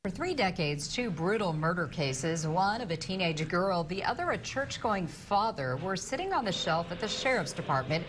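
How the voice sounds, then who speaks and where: Animated woman anchoring the news. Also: fairly low pitch, moderate pace, hardly any pauses. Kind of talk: dramatic scripted news introduction. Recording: clearly noisy broadcast recording.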